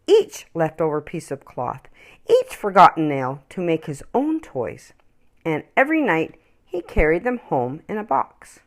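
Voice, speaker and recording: Female children's storyteller. Slightly low voice, highly varied intonation, expressive and emphatic delivery. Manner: animated, emphatic, dramatic phrasing.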